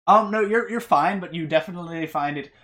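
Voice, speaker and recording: A game master narrating a tabletop fantasy game off the cuff, in a halting, stammering, conversational way. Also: medium pitch, a bit bright.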